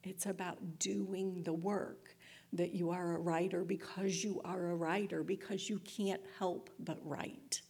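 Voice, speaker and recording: This is a woman giving an inspirational community talk. Her voice sits a little low, with a husky grain and frequent creak. She is reflective and earnest, setting out each thought in a measured way. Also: fairly even intonation, darkish tone.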